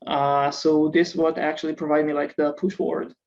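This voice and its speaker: A man's voice: fairly high pitch, flat delivery, normal speed, a little mellow, lo-fi sound. A startup founder from Israel, a man, answering interview questions hesitantly, with many filler sounds. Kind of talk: casual interview over video call.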